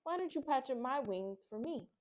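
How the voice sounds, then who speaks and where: A wise, wounded storybook butterfly, read by a woman. The voice is slightly lifted, pleading for help while gently advising. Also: sits high, expressive, normal speed, voice pushed up. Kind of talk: children's picture book character voice.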